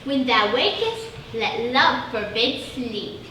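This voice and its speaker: A woman's voice: a little high, big pitch swings, slow pace, sharp tone, exaggerated voice. A woman playing a mischievous fairy servant in theatrical verse, exclamatory and playful. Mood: gleeful scheming. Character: mischievous fairy servant sprite casting a love charm. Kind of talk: theatrical Shakespearean stage verse. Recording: very echoey, distant mic, faint noise.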